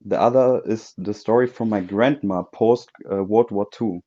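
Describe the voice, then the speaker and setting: A man's voice: on the low side, normal speed, dark tone, muffled recording. A man from Germany with a German accent, a non-native English speaker, calling in hesitantly and searching for words. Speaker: caller telling a ghost story.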